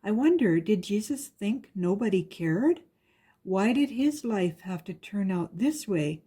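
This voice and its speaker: A woman reads a rhyming picture book to children in a soft-edged, mellow voice with some huskiness. Her delivery is measured and follows the lilt of the verse, at a middling pitch. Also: expressive, husky. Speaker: guest story reader.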